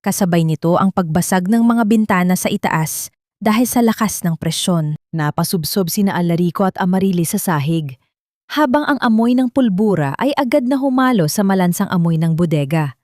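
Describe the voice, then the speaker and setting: A woman's voice: lowish voice, lively intonation, brisk, a little mellow, dry recording. Woman narrating drama, close dry sound. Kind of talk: dramatic scripted audio story narration.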